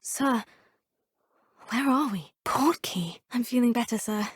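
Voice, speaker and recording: A woman's voice: a little high, slow, breathy edge, no echo, studio quality. A polite young witch student. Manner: polite and formal, addressing teachers as sir and professor. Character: polite young female Hogwarts fifth-year student witch. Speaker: player character. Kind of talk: video game voice lines. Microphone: close studio mic.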